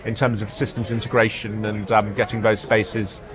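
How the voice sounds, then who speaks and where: A man speaking as an executive in a product interview, halting, often repeating words and hesitating as he explains. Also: lowish voice, little pitch movement, faint music behind. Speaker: marketing executive being interviewed. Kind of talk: product interview at a trade show. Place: trade show floor.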